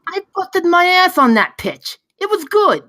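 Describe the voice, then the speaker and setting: A woman playing a brash, excitable pitchman in a table read, telling things in an emphatic, sweary, conversational way. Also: measured pace, no room sound, studio quality, exaggerated voice. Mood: indignant. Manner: emphatic, profane, conversational storytelling. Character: brash, excitable male pitchman spinning a campfire tale.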